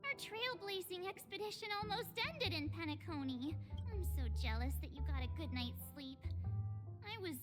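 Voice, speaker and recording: An actress playing a perky, kind-hearted young girl adventurer with expressive, theatrical delivery in a video game. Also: very high-pitched, normal speed. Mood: dramatic, uneasy. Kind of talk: scripted video game dialogue. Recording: game music behind the voice. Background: game music.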